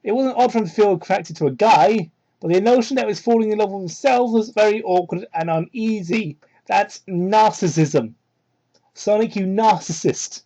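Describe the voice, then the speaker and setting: Male reader, high and soft-toned, theatrical mock-dramatic delivery with sarcastic ad-libs, cheap muffled mic. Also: normal speed. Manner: sarcastic, incredulous asides with theatrical emphasis. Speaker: host doing a dramatic reading of fan fiction. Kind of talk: mocking read-aloud with ad-libbed commentary. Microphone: cheap microphone. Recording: muffled, low-quality cheap microphone.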